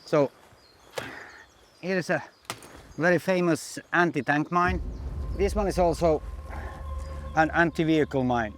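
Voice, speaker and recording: Higher-pitched man giving an informal demonstration. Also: a little slow, long pauses, fairly bright tone, a little hiss or hum. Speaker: military instructor.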